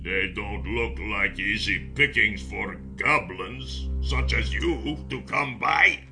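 A man voicing a big, suspicious orc leader in a fantasy audiobook, with a growling, gravelly, drawling delivery. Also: on the low side, sharp tone, soft background music, full character voice. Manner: slow, drawling, taunting.

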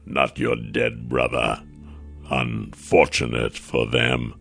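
A man voicing a huge, clawed, scaled male monster with a slow, menacing growl in an audiobook. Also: very deep voice, flat delivery, creaky, fairly bright tone, faint music behind, pitched below the performer's own voice, exaggerated voice. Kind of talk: audiobook character voice.

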